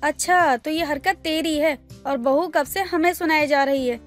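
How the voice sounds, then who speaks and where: A woman playing an older, peacemaking mother-in-law, placating yet commanding, in an animated family story. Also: sits high, unhurried, soft background music. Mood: firm, placating. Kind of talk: animated family drama dialogue.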